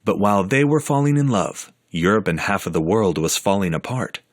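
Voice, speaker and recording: A man narrating a documentary in a measured, scripted storytelling manner. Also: on the low side.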